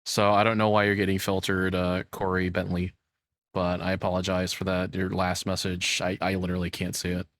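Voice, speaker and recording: A man with a lowish voice talks casually on a livestream. He is informal and sweary, rambling from one remark to the next. The sound is dry and close. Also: completely flat delivery, fairly quick, fairly bright tone, no echo. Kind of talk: casual reaction stream chat.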